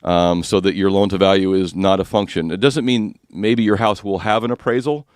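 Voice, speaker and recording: A man hosting a finance talk radio show, speaking with a slightly rough, husky voice and explaining mortgage rules in a chatty, joking way. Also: sits low, steady tone, normal speed, no room sound, studio quality.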